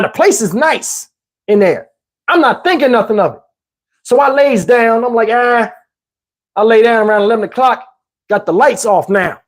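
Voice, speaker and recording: Casting: American man, husky voice, animated streamer spinning personal tales in loose slang and playing out each side of the conversation. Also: very high voice. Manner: slangy, acts out dialogue. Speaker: livestream host telling personal stories. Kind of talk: casual animated storytelling.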